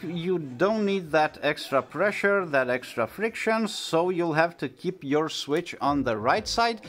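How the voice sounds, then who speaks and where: A man in his thirties who is not a native English speaker, explaining things hands-on as a video host, in a halting, hesitant way with frequent pauses. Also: a little high, fairly bright tone. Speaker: host of vintage audio videos. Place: small indoor room at home.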